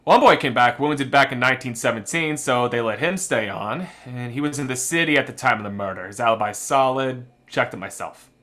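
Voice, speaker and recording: An actor playing a brisk, wry policeman in a radio murder mystery, talking in a conversational, emphatic way. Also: mid-range voice, fairly bright tone, close to an everyday voice. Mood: matter-of-fact. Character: brisk, wry policeman briefing an inspector on suspects.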